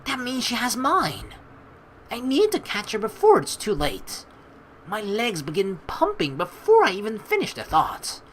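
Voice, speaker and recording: A woman hosting a let's play, reading game narration aloud and adding chatty, rambling commentary in an expressive way. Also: mid-range voice, a little slow, slight background noise.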